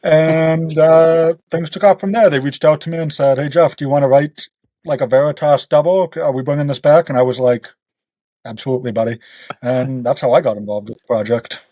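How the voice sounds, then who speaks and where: Mellow-voiced man telling casual anecdotes. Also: fairly high pitch, fairly even intonation, a little fast, no room sound. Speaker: podcast guest, author.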